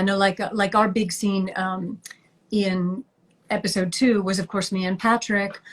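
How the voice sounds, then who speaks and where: A woman actress being interviewed on a video call, chatty and lively, often laughing between thoughts. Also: mid-range voice. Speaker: actress, guest. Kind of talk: interview chat.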